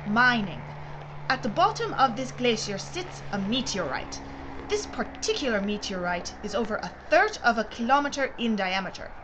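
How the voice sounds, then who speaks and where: Woman acting a high, lively, secretive company executive. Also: moderate pace. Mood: brisk, explanatory. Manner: precise, formal, explanatory. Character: brisk, secretive female executive of a mining firm. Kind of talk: audio drama acting. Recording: clear background noise and ambience.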